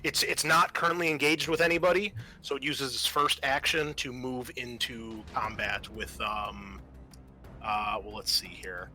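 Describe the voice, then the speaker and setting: A man's voice: medium pitch, fairly even intonation, measured pace, bright, forceful tone. A man narrating a tabletop roleplaying game as game master, explaining off the cuff with some hesitation. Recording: faint music behind the voice.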